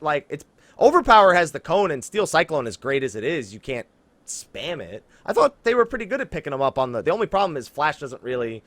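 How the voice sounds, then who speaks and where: Animated man hosting a gaming podcast. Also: a little high, dry recording. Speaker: podcast host. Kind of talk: casual gaming podcast chat, reading listener questions. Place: indoors, online voice call.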